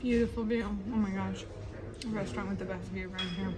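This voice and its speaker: A woman's voice: medium pitch, fairly even intonation, fast talker, over a noisy crowd. A woman in her thirties narrating a casual travel vlog into a handheld phone, upbeat and informative. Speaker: travel vlogger. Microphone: handheld phone selfie. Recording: music playing underneath.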